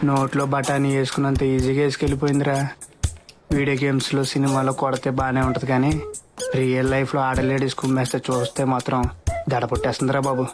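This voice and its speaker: An actor playing a cocky, flippant young software engineer who teases in slangy, mock-dramatic comedy film dialogue. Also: male voice, mid-range voice, completely flat delivery, fast talker, close to an everyday voice. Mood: rattled, joking. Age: young adult. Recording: film audio with music underneath.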